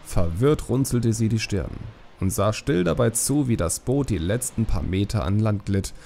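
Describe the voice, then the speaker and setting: Creaky-voiced man narrating, lively intonation. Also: fairly low pitch, normal speed, a little hiss or hum. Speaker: audiobook narrator. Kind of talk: dramatic scripted fantasy narration.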